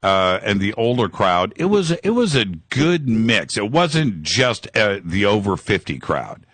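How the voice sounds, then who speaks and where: A man's voice: on the low side, big pitch swings, unhurried, a bit bright, no room sound. A man hosting a live call-in radio show, enthusiastic and rambling, repeating words and leaning on fillers.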